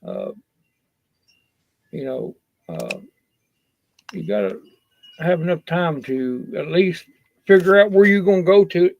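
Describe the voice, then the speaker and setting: A man's voice: fairly high pitch, big pitch swings, takes it slowly, creaky, long pauses, darkish tone, a little hiss or hum. A man giving a casual, rambling safety talk in a folksy, earnest and blunt manner. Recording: dull, lo-fi sound.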